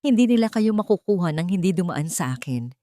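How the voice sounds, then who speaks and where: A woman's voice: lowish voice, expressive, a little fast, warm tone, no echo, clean studio sound, natural voice. A woman voicing a brave, poor male scavenger, firm and protective, in an audio drama. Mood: defiant. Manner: firm, determined.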